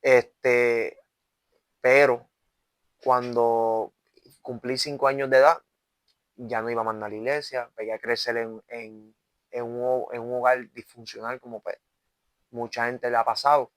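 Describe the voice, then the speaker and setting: Earnest young Puerto Rican man, flat delivery. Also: medium pitch, a little slow, pauses often, no echo. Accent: Puerto Rican Spanish. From Puerto Rico. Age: 20s. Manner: earnest, with constant "¿verdad?" tags and restarts. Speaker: evangelist guest. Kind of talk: testimony interview.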